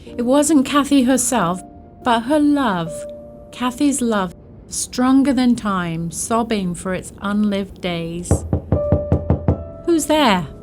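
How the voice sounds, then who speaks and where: A woman's voice: on the high side, expressive, slow, a little mellow, soft background music. A woman playing a household servant in an audio drama, earnest and emotive, telling of a tragic past. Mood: anxious.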